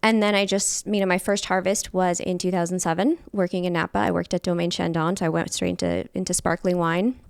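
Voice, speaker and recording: A woman's voice: lowish voice, level tone, fairly quick, vocal fry, hardly any pauses, clear, resonant tone, dry recording. Female guest, 40s, candid and talkative, clean dry close-mic sound. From California, USA. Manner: candid, chatty storyteller. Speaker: guest, fermentation specialist. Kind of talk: casual interview chat.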